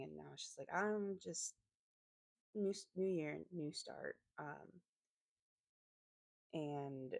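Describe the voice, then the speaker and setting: A woman with a slightly raspy voice giving a casual craft voiceover, rambling hesitantly and often correcting herself as she goes. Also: deep voice, a little mellow, no echo. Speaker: planner vlogger and host.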